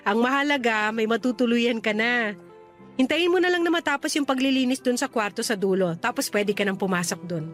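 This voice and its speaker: A woman's voice: a little high, animated, brisk, soft background music, close to an everyday voice. A woman playing a friendly but guarded landlady in her thirties in a radio drama, chatty and teasing. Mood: helpful, curious. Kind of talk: radio drama acting in natural conversation.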